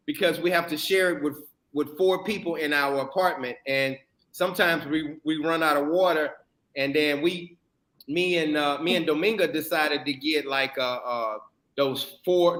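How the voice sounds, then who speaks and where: A man's voice: on the high side, a bit bright. Man in his forties in an echoey room. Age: 40s. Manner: stumbling, repeats words. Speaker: host. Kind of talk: casual live-stream chat.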